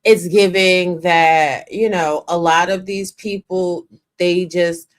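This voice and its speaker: A woman's voice: fairly low pitch, fairly even intonation, takes it slowly. A woman making her points with emphasis, drawing out her phrases and lecturing in a casual discussion.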